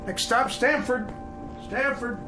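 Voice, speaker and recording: An actor playing a folksy, plain-spoken train conductor who chats matter-of-factly in a radio drama. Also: male voice, very high-pitched, slow pace, over music. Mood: calling out. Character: folksy, matter-of-fact train conductor making small talk.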